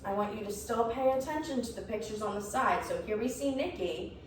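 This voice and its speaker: A woman reads a picture book aloud as a storyteller, expressively, pausing for asides that point things out to young listeners. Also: on the high side. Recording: roomy, echoing, distant mic, faint noise.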